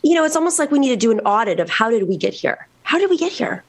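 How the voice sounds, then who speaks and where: An American woman speaking off the cuff as a political panelist, often halting and repeating words as she forms her thoughts. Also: fairly high pitch, big pitch swings. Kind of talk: unscripted political discussion.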